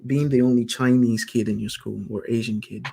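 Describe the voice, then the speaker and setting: A man's voice: medium pitch, a little fast, creaky, breathy edge. A man who is a guest on a talk show, chatting casually. He asks curious questions and adds joking asides. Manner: animated and curious, jokey asides. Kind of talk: casual conversation.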